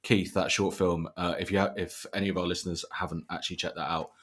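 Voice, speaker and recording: A man hosting a podcast with casual banter, speaking haltingly and often repeating his words. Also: deep voice, level tone, a bit bright. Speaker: podcast host.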